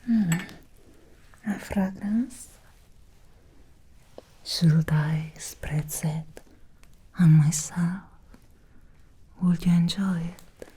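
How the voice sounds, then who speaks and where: Woman doing a victorian vampire lady asmr, super breathy whisper, really slow, obsessive and teasing. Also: on the low side, dark tone, heavily acted. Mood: flirtatious, hungry. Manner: slow, lingering, teasing, intimate. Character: seductive, obsessive female Victorian vampire, softly predatory. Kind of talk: ASMR roleplay, whispered personal attention.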